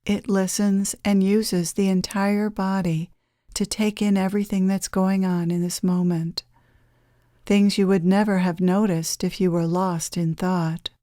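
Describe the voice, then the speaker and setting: A woman leads a guided meditation in a soft-edged, mellow voice. She speaks very slowly and gently, leaving long, soothing pauses. The sound is dry, with very little room around it. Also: medium pitch, level tone, dry recording. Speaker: guided meditation leader, channeler.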